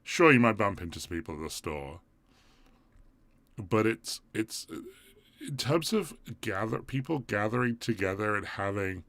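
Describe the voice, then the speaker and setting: A man's voice: fairly low pitch, expressive, moderate pace, fairly bright tone. A man with an English accent hosting a live stream, talking casually and thinking aloud as he goes. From England. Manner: thinks aloud, rambling and conversational.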